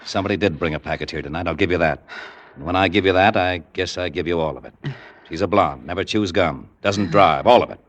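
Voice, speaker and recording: A low-pitched, hard-boiled American police sergeant in an old radio drama. He talks in blunt, clipped slang, tough and gruff. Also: fast. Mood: gruff, relenting. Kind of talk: 1940s radio acting. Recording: vintage radio drama with faint music.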